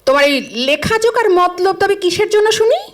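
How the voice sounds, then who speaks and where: A woman's voice: very high voice, lively intonation, a little fast. An actress playing an exasperated middle-aged wife and mother, scolding and sarcastic, in an audio drama. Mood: reproachful.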